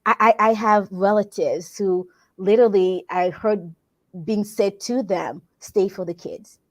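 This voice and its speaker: A woman's voice: mid-range voice, a little slow. A woman from Congo, a workplace wellbeing consultant, answering interview questions in an earnest, reflective way, often restarting her phrases.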